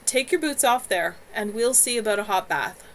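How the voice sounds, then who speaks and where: A woman's voice: medium pitch, lively intonation, moderate pace, a little hiss or hum. Floria, a woman reading a firm, motherly witch. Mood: brisk, kindly. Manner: warm but firm, brooks no argument.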